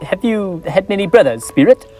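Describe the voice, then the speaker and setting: A frightened old miser begs and cries out, played by a young man on a school stage. His voice swings widely in pitch as he pleads, theatrical and strained. Faint music plays underneath. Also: lively intonation, moderate pace, dark tone. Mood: wary. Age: young adult. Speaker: student actor. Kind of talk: high school stage play.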